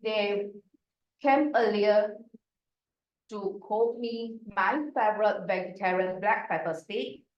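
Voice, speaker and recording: A woman's voice: medium pitch, dull audio. A woman from Malaysia with a Malaysian Chinese accent, telling a personal story in a prepared club speech. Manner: slow, deliberate storytelling with acted quotes. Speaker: club speaker. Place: meeting room. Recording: distant mic in echoey room.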